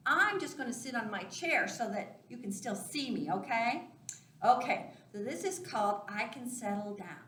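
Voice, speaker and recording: A woman's voice: a little high, lively intonation, normal speed, fairly bright tone, a little hiss or hum. A woman leading a children's Sunday school lesson, explaining things simply, step by step, as if to young listeners. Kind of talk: children's lesson, craft demonstration.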